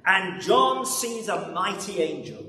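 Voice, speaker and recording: An older man delivers a sermon from a lectern in a big, reverberant church. His pace is very slow and dramatic, with swings between forceful and hushed phrases. He sounds set back from the mic, with some noise around him. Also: high-pitched, lively intonation. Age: 60s or older. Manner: dramatic, swelling to loud then dropping very quiet. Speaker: preacher. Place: large church interior, at lectern. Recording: roomy echoing church, distant, background noise.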